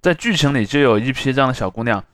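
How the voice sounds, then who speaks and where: A man's voice: medium pitch, lively intonation, fast, no echo, clean studio sound. A man co-hosting a casual discussion podcast, explaining step by step and rephrasing as he goes. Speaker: podcast co-host.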